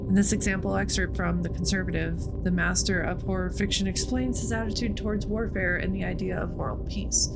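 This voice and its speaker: A woman's voice: on the low side, poor audio. A woman hosting a gaming video, reading on-screen text aloud. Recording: loud music behind the voice. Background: loud music.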